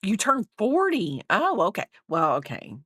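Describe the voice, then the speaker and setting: A woman's voice: medium pitch, huge pitch swings, unhurried, a bit bright, breathy edge, no room sound, studio quality. A woman in her fifties chatting casually on a crochet livestream, rambling and reading out viewer comments. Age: 50s.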